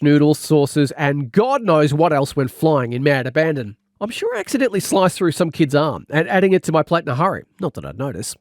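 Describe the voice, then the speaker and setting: A man's voice: a little high, dry recording, studio quality. A man reading a comic first-person audiobook as a wry, exaggerating storyteller. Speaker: audiobook narrator. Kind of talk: comedic scripted narration.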